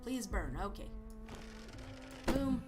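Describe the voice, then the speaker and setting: A man's voice: sits high, sing-song, slow, full character voice. A man with a Swedish accent voicing a goofy, rambling persona who stammers through a video game commentary and yelps when startled. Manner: rambling, hesitant, jumpy exclamations. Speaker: let's play host. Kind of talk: let's play commentary. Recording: game music loud behind voice.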